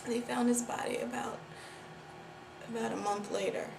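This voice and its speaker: An actress playing a guilt-ridden woman confessing a long-held secret in a dramatic monologue, halting and repeating herself. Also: a little high, steady tone. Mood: subdued, guilty. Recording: echoey room with clear background noise.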